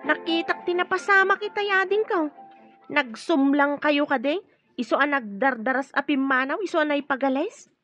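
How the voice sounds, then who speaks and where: A woman's voice: high-pitched, moderate pace, fairly bright tone, lo-fi sound, faint music behind. An actress playing a gossipy, slightly scatterbrained, confiding woman in an Ilocano radio drama. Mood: concerned, curious.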